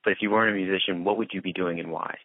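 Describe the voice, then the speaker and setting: A man's voice: low voice, fairly even intonation, racing, no room sound. A man conducting a casual interview over the phone, asking questions in an informal, chatty way. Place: on a phone call. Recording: narrow, dry phone-call audio.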